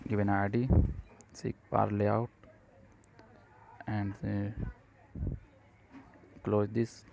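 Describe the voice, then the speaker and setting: A man presents a step-by-step tutorial in a low, subdued, mellow voice with little melodic movement. He dictates in short, halting phrases. There is some background noise in the recording. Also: vocal fry, poor audio. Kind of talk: step-by-step software tutorial narration.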